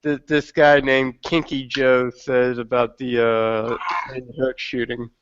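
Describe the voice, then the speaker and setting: A man in casual live-stream chat, halting and stammering as he talks. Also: medium pitch, little pitch movement, a little hiss or hum. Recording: dry, dull lo-fi mic.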